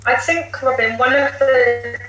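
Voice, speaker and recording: In a roomy, echoing recording with some faint noise, a British woman speaks in a bright, forceful voice. She is a teacher giving articulate, considered explanations in a discussion. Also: high voice, steady tone, measured pace. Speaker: teacher, podcast guest. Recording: roomy echo, light background noise.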